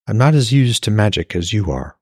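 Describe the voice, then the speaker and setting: A man voicing a gentle, loving young woman in a fantasy audiobook, speaking softly and earnestly with sad resolve. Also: on the low side, big pitch swings, fairly quick, slightly rough, no room sound, clean studio sound, voice pushed up, exaggerated voice. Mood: tender, overwhelmed. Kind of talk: fantasy audiobook character dialogue.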